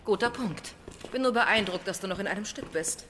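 A woman's voice: mid-range voice, fairly quick, fairly bright tone, a little hiss or hum, lightly acted. A woman playing a cool, determined, sardonic female agent in an audio drama, commanding and wry. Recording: long reverb, music behind.

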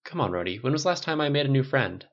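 Man voicing a jittery inventor guy, quick, high and animated, slightly muffled recording. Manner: fast, fidgety and easily defensive. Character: quick, restless male genius inventor.

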